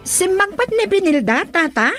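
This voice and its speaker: High-voiced, inquisitive young Filipino woman. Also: very animated, normal speed, over music. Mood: inquisitive, anxious. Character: inquisitive, anxious young woman, polite to elders. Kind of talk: Ilocano radio drama acting.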